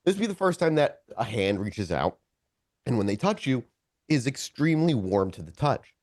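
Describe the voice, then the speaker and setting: Man narrating a tabletop game, lively intonation. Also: medium pitch, no room sound, clean studio sound. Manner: halting, self-correcting, searching for words. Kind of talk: improvised descriptive narration addressed to players.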